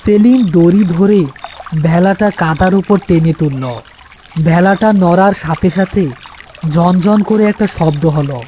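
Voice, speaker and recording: A man's voice: high-pitched, a little slow, warm tone, slight background noise. A man narrating a scripted horror story as its storyteller.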